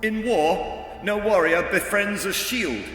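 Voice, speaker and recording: A man's voice: high voice, takes it slowly, fairly bright tone, voice pushed up. A man voicing a bold, confident warrior hero in a storybook, answering in an assured, declamatory way. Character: bold young male warrior hero, confident riddle-solver. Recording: strong echoing reverb, faint music.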